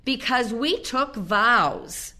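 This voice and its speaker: Woman acting a high, fierce old lady. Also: lively intonation, full character voice. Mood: firm, softening. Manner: shouting, emphatic, drawn-out words. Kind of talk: audio play acting.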